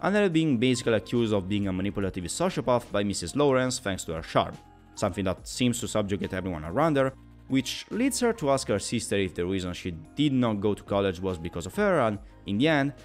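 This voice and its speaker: A man's voice: lowish voice, big pitch swings, fast, faint music behind. A man delivering a scripted video game review in an explanatory, opinionated way.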